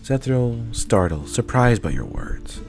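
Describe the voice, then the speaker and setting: A man's voice: mid-range voice, big pitch swings, slow pace, darkish tone, soft background music. A man reading scripted second-person story narration for an audio roleplay.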